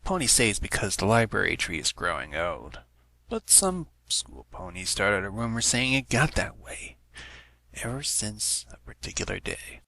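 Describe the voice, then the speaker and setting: A man's voice: on the low side, sing-song, normal speed, vocal fry, sharp tone, no echo. A man giving a scripted narration of a story as an amateur reader. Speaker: amateur fan fiction reader.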